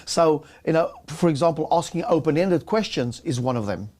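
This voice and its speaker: A man's voice: on the high side, expressive, moderate pace, a little mellow. A man co-hosting a casual business chat, often restarting and repeating words as he talks. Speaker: co-host.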